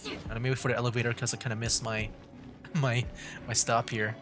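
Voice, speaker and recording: A man's voice: medium pitch, level tone, a little fast. A man chatting casually as he streams a game, with informal nerdy asides. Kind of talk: casual gaming stream commentary, reading some dialogue aloud. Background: game music.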